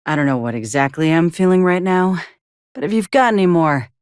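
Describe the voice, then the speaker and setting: A woman's voice: fairly low pitch, expressive, fairly quick, smooth, no room sound, lightly acted. A woman voicing a tough, dry-witted soldier companion in a video game, blunt and wry with folksy phrasing. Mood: deadpan.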